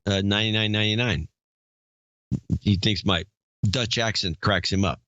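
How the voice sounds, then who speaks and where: A man co-hosting a podcast, talking casually and reading out donor names in a gruff, offhand manner. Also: lowish voice, moderate pace, pushed and bright, dull audio, slight background noise. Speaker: podcast co-host.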